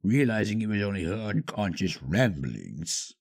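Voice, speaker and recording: A man narrating a scripted story dramatically, with measured, hushed phrasing and pauses. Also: lowish voice, sing-song, creaky, slightly rough.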